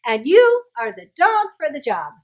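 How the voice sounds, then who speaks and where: Woman putting on an excitable little storybook dog, very high. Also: unhurried, exaggerated voice. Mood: matter-of-fact.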